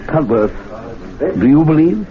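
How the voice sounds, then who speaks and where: An actor playing an anxious, fussy gentlemen's club member in a 1970s radio drama, hesitant and politely flustered. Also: male voice, on the high side, big pitch swings, a little slow. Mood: worried. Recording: tinny, noisy old radio broadcast.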